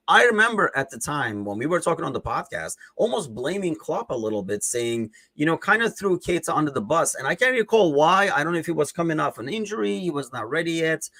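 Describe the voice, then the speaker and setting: A man's voice: medium pitch, a bit bright, no room sound. A man with a Turkish accent, speaking English as a second language, hosting a casual football chat in a rambling, conversational way full of fillers. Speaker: podcast host.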